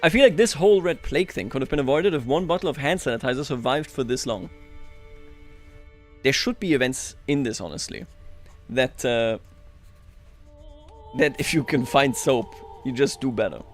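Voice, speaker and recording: A man's voice: mid-range voice, animated, moderate pace, long pauses, soft background music. A man hosting a livestream, chatting casually and thinking aloud over a strategy game in a wry, playful way. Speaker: livestream host.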